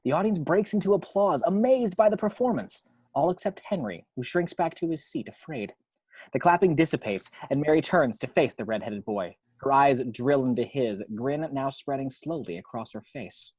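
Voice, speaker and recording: A man's voice: medium pitch, animated, moderate pace, darkish tone, slightly rough, no room sound, low-quality recording. A man narrating screenplay action lines at an amateur table read in a matter-of-fact storytelling way.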